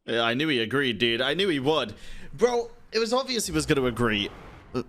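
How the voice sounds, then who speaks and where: A man's voice: medium pitch, animated, brisk, fairly bright tone. A man streaming a game, reacting in casual, slangy commentary with swearing.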